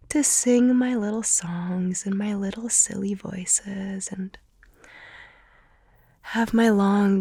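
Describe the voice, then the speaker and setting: A young woman whispering softly in an ASMR roleplay, gentle and tender, a little tearful. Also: mid-range voice, gravelly, husky, no room sound. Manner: soft whispering.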